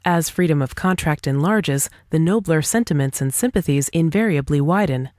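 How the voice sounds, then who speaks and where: A woman's voice: low voice, moderate pace, darkish tone, no echo, studio quality. A woman reading a scripted dialogue aloud as for an audiobook, in a formal, argumentative manner. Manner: formal, argumentative, rhetorical questioning. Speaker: audiobook reader.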